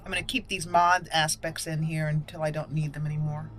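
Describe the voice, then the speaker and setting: A woman's voice: low-pitched, flat delivery, a little fast, a bit bright. A woman giving casual gameplay commentary, thinking aloud and reading item names out as she goes. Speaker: gaming channel host. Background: video game music.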